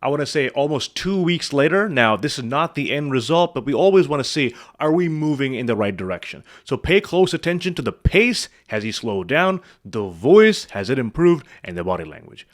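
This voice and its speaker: Male speech coach, expressive pitch, direct rhetorical coaching delivery. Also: moderate pace, few pauses. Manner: animated, asks the viewer rhetorical questions. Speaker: speaking coach. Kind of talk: coaching commentary on a video.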